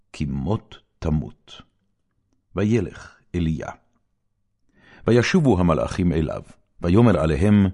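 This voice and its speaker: A man reading scripture as a scripted narration, solemn and declamatory, in measured phrases with dramatic emphasis. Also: low-pitched, warm tone. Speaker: audiobook Bible reader.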